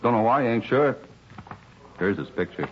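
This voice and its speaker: A man's voice: medium pitch, sing-song, moderate pace, natural voice. A man playing a hesitant, plain-spoken working man who was held up, folksy and repetitive, in a 1950s radio drama. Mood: earnest. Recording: dull, hissy old radio recording.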